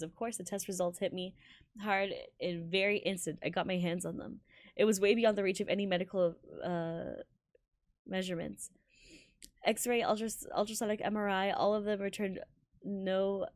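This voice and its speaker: A woman streaming a visual novel, reading it aloud in a halting, giggly way with stumbles over words. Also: mid-range voice, darkish tone, dry recording. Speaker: game streamer.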